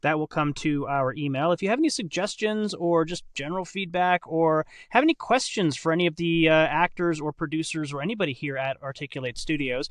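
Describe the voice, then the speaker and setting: A man with a fairly high voice hosts a casual group podcast. He is jokey and rephrases himself as he goes. The sound is dry, with no room echo. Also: fairly quick, hardly any pauses. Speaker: podcast host.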